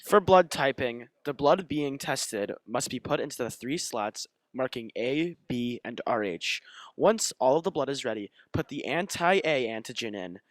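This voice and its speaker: A man's voice: mid-range voice, dry recording. A young man reading scripted narration for a student crime dramatization.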